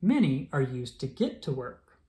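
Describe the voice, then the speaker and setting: A narrator reading a children's picture book aloud in a clearly enunciated, instructional storytelling manner. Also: medium pitch, very animated, normal speed, warm tone.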